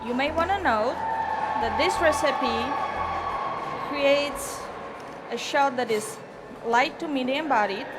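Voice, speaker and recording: A woman's voice: sits high, over loud music. A woman with a Czech accent, a native Czech speaker, giving a careful, rehearsed barista competition presentation on stage. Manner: measured, careful, formal. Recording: noisy event venue ambience.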